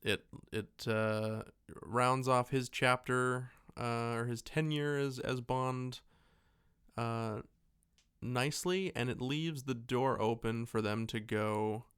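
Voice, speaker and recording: Smooth-voiced man chatting casually. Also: medium pitch, steady tone, takes it slowly, a bit bright. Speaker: podcast host. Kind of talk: casual chat about films and shows.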